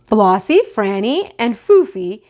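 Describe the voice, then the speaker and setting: Picture-book papa bunny, read by a woman: firm, practical, emphatic, with expressive intonation. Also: mid-range voice, takes it slowly. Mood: instructing. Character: father rabbit, practical, firm head of family.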